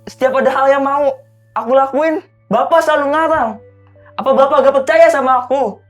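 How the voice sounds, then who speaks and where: A woman's voice: high voice, fairly quick, soft background music, close to an everyday voice. A woman playing a fifteen-year-old girl who pleads with her father, hurt and insistent, in a scripted scene. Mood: hurt pleading. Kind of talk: scripted acting audition line.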